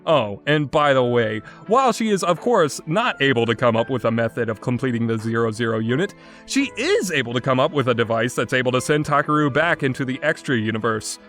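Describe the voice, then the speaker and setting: A man's voice: mid-range voice, big pitch swings, brisk, a bit bright, soft background music. A man giving an opinionated video game review in an exasperated, sarcastic and emphatic way, with casual phrasing.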